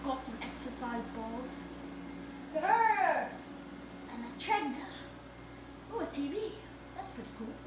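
A woman's voice: high voice, very animated, slow, pauses often. A young woman co-hosting a playfully melodramatic, improvised mock-horror skit on a handheld camera. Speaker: vlog co-host. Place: dim carpeted home basement. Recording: noisy handheld camera in basement.

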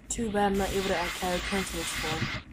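A woman improvising a video game roleplay, talking haltingly with sighs. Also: medium pitch. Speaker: player and narrator of a roleplay series. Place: indoors at a computer. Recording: noisy home recording.

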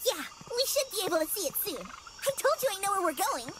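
A woman's voice: extremely high pitch, sing-song, brisk, noisy background. A woman voicing a spirited young child explorer in an anime-style game. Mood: eager.

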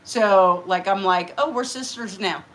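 A woman's voice: medium pitch, a little slow, slight background noise, low-quality recording. A middle-aged woman hosting a casual livestream from her living room, chatty and rambling, often laughing. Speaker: livestream host. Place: living room, green party decorations.

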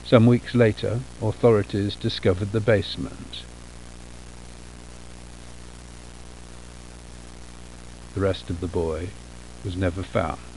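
A man playing a soothing children's storybook character, speaking in slow, drawn-out theatrical phrases. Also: low voice, fairly even intonation, background noise. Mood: grave. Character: soothing storybook narrator and coaxing goofy sleep fairy. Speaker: narrator of a children's bedtime story film.

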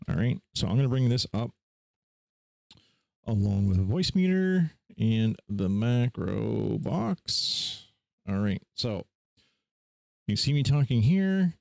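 A man hosting a casual screen-share software tutorial in an informal, offhand way. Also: mid-range voice, creaky, no echo. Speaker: tutorial host.

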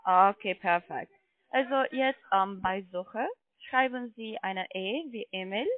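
A woman who is not a native speaker, giving a halting telephone sales pitch as a call centre agent, often checking with questions. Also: no room sound. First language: not German. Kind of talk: persuasive phone sales pitch. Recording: tinny narrow phone line.

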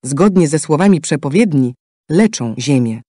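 A man narrating a scripted fantasy game story in a solemn, measured way. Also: fairly high pitch, animated, dark tone, breathy edge, no room sound, studio quality. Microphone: close studio microphone.

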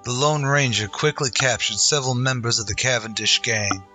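A man's voice: medium pitch, moderate pace, sharp tone, faint music behind. A man narrating a scripted western in dramatic old-time radio style.

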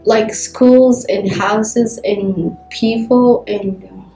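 A teenage girl speaks slowly and carefully, her voice soft and mellow. She is recorded in an echoey classroom with faint music underneath. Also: mid-range voice, steady tone. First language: Somali. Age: teens. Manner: slow, careful. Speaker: immigrant student. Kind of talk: documentary interview.

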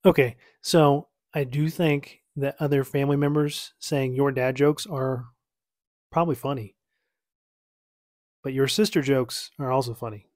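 A man's voice: medium pitch, steady tone, measured pace, a little mellow, no room sound, studio quality. Easygoing man rambling at his desk. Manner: rambling, self-correcting, easygoing. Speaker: YouTuber reacting to his own video. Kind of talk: casual reaction commentary. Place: small home office at night, lamp-lit.

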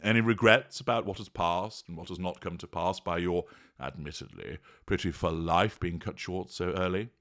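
A man voicing Death, an ancient male grim reaper who speaks in a formal, measured way with dry exasperation. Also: low-pitched, fairly bright tone. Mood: solemn.

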